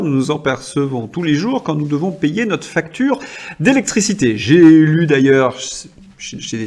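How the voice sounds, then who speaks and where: A man hosting a political commentary show, talking in an ironic, indignant tone with mocking asides. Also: a little high.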